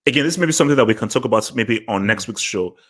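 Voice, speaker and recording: A man's voice: medium pitch, animated, racing, fairly bright tone. A man from Nigeria with a Nigerian accent, chatting as a podcast host, circling and repeating words to stress his point. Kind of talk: casual discussion podcast chat.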